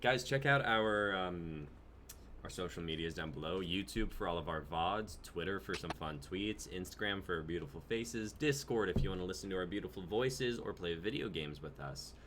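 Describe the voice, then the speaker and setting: A man's voice: sits low, fairly bright tone, a little hiss or hum. A young man hosting a casual livestream, talking in an informal, chatty way. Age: young adult. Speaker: host of a script-reading livestream. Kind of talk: casual livestream group chat.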